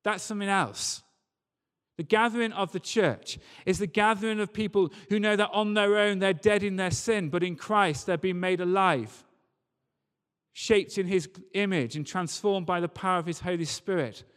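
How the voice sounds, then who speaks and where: A man's voice: high-pitched, normal speed, a bit bright, clear, resonant tone. A man preaching a church sermon in an earnest, emphatic way, repeating key phrases. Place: large reverberant church or hall. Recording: long hall reverb.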